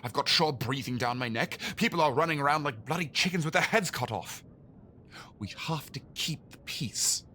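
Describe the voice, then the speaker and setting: An actor playing a harried, exasperated Victorian police inspector in an audio drama, gruff and formal. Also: male voice, a little high, moderate pace, pushed and bright, a little hiss or hum. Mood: frustrated, defensive.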